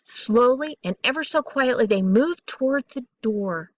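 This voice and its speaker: A woman reading a children's bedtime story aloud in an expressive, deliberate way, drawing out key words. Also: a little high, no echo.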